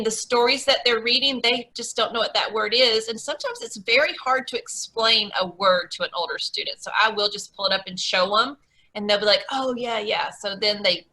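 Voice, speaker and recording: A woman hosts a teacher meetup over a video call. Her voice is high and bright, and she talks in a rambling, chatty way, full of fillers and false starts. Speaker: online English teacher and meetup host. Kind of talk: casual video call chat.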